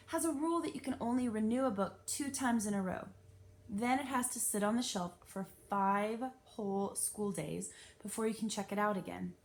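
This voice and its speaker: A woman reads a children's story aloud. Her voice sits at an average pitch for a woman, and her delivery is animated, with clear emphasis on the storytelling. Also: slight background noise. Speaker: read-aloud narrator. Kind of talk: expressive children's audiobook-style narration.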